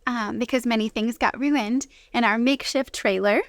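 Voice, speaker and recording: American woman guest, fairly high voice. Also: steady tone, normal speed, no room sound. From Washington State, United States. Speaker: interview guest. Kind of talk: casual personal storytelling.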